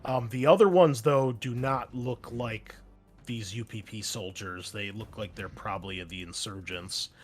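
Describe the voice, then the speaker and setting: A man's voice: on the low side, measured pace. Male game master, easygoing delivery, faint music bed. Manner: casual, relaxed, frequent fillers. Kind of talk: running a tabletop game.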